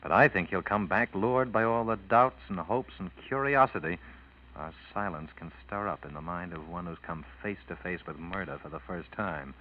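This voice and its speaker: A tinny old radio recording with faint noise. A man plays a brooding police detective in a mystery drama, his voice very deep. He is measured and patient, like someone thinking through a hunch. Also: lightly acted. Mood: intent, brooding. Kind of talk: 1940s radio acting.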